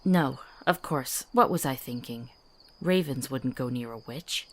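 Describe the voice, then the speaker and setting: A woman's voice: very deep voice, a little slow. A woman playing a curious, skeptical young apprentice apothecary in a visual novel, with a wry, questioning manner. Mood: sardonic.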